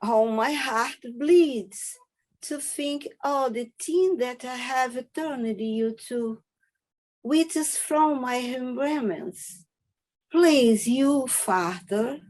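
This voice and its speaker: A woman playing an innocent, anxious young daughter in a Shakespearean verse reading, with halting, emphatic phrasing. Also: high-pitched, a little mellow, exaggerated voice. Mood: pained, astonished.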